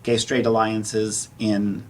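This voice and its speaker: A man in his sixties speaking casually on a panel, halting and repeating words as he goes. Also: fairly low pitch, level tone. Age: 60s. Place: live panel event in a room. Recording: faint room noise.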